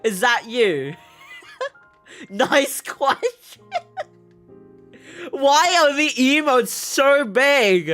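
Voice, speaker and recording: A woman streamer with a mixed English accent chatting casually as she answers viewer questions, giggly and halting, often repeating herself. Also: high voice, sing-song, a bit bright. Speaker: VTuber streamer host. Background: background music.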